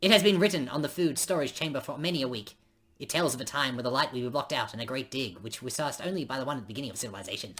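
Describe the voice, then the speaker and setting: A woman's voice: rumbling low, rapid-fire, fairly bright tone, breathy edge, exaggerated voice. A woman voicing a doom-prophesying ant with ominous, alarmist, dramatic declaiming.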